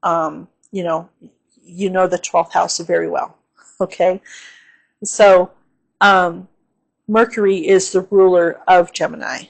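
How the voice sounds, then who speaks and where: Slow, low-voiced woman astrologer, slightly hoarse. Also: fairly even intonation, poor audio. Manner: unhurried, with frequent "um"s and "okay?" check-ins. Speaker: astrologer and channel host. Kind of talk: casual astrology forecast.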